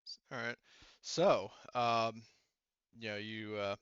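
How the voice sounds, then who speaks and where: A man with a crisp, bright voice serves as game master for a tabletop roleplaying session. He talks casually and haltingly, working things out aloud. The sound is dry and somewhat dull. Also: lowish voice, dry recording.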